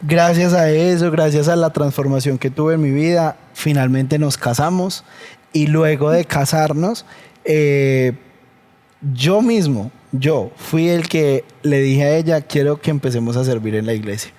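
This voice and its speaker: A man's voice: on the high side, dark tone. A man from Colombia with a Colombian Spanish accent, earnestly telling his conversion story as a guest on a church program. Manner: earnest, measured and reflective. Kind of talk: personal testimony in conversation.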